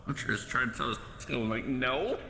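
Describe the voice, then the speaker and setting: Man, bright forceful voice, profane joking banter, excitable, echoey with faint noise. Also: medium pitch, normal speed, creaky. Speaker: player in an online roleplay group. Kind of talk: casual roleplay banter in VRChat. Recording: roomy echo, faint background noise.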